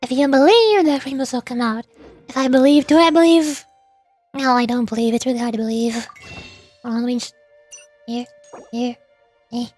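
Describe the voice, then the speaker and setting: A woman streamer chatting casually about a video game in a rambling, playfully exasperated way, often halting and repeating words. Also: high-pitched, a little mellow. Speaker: streamer, VTuber. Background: background music.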